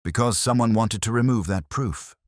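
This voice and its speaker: A man's voice: lowish voice, moderate pace, no room sound, clean studio sound, pitched above the performer's own voice, close to an everyday voice. A man playing an unassuming, patient police inspector in a mystery story, polite and understated. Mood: thoughtful.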